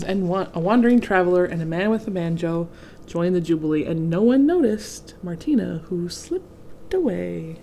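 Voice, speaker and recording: A woman's voice: on the low side, gravelly. Woman reading a storybook, faint background noise. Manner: expressive, chatty, addresses young listeners directly. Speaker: read-aloud host reading a picture book. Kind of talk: children's storybook read-aloud with casual asides.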